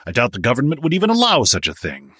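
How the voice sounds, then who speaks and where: A man voicing a brash, domineering wealthy businessman in an audiobook, forceful and cocksure. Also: mid-range voice, huge pitch swings, fast talker, husky, no room sound, clean studio sound, pitched above the performer's own voice, heavily acted. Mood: proud, rousing.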